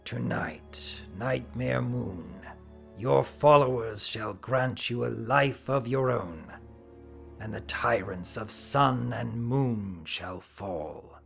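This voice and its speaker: Storybook villain played by a man: solemn pony zealot in command, ceremonial delivery, very measured pace. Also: medium pitch, vocal fry, fairly bright tone, faint music behind, heavily acted. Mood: reverent, menacing. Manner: slow, grandiose, ceremonial.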